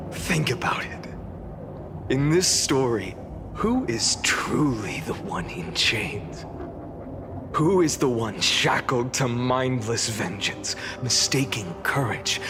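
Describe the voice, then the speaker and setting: An actor playing a vengeful, defiant young warrior hero in a video game, speaking in a gravelly, deliberate, grandiose and bitterly scornful way. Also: male voice, on the high side. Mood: cold scorn. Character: vengeful, defiant young male warrior hero, exhausted yet burning with fury. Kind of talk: dramatic English game voice acting, theatrical monologue.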